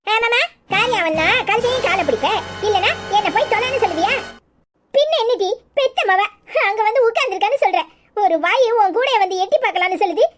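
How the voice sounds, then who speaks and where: Woman acting older village mother, very high voice, stubborn, complaining, southern Tamil Nadu accent, melodramatic serial. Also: expressive, fairly quick, fairly bright tone, faint music behind. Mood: indignant. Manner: complaining, insistent.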